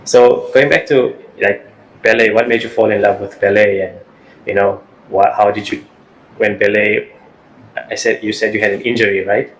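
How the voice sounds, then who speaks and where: A man hosting a wellness podcast, asking questions in a halting way, repeating words and saying "you know". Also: on the low side, steady tone, low-quality recording. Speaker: podcast host. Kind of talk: podcast interview.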